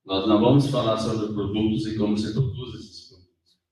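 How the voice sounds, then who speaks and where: A man's voice: fairly low pitch, fairly even intonation, moderate pace, darkish tone. A man interpreting a lecture into Portuguese line by line, rephrasing and restarting as he goes. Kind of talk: consecutive interpreting. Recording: echoey hall, voice from far off.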